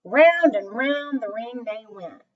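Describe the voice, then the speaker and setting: A woman tells a children's story at an unhurried pace, her fairly high voice swooping expressively. The recording is dull and a little distant from the microphone. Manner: slow, animated, deliberate emphasis.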